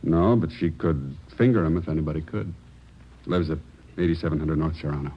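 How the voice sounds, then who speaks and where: A man's voice: rumbling low, brisk, slightly rough. A man acting a cool, guarded underworld figure in an old radio crime drama, with clipped, evasive delivery. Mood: guarded, matter-of-fact. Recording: dull, noisy old radio recording.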